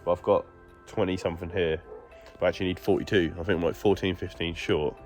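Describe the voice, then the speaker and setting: A man's voice: low-pitched, steady tone, quick pace, a little mellow, soft background music. A man explaining a garden build step by step, recorded outdoors on a phone. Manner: chatty, explaining each step. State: congested, stuffy nose. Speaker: DIY vlogger. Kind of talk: casual building update. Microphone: phone camera.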